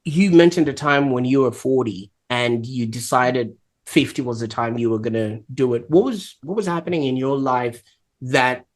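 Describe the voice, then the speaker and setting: A man hosts an interview show in a steady, measured way. He encourages his guest and sums up her points for the audience. His voice sits at an ordinary middle pitch for a man. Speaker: podcast host. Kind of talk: interview hosting.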